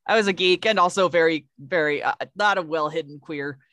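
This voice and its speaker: A woman's voice: sits low, fairly quick, fairly bright tone, dry recording. A woman co-hosting, telling candid stories in a wry manner. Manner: wry, candid, quotes people. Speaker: podcast co-host. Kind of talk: casual chat.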